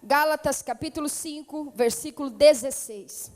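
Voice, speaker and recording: A high-pitched woman with a São Paulo accent preaches as a missionary in a fervent Pentecostal style. She moves from calm greetings to loud, urgent exhortation. Her voice rings through a church hall with a long reverb. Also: normal speed. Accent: São Paulo (paulista). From São Paulo state, Brazil. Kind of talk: Pentecostal sermon.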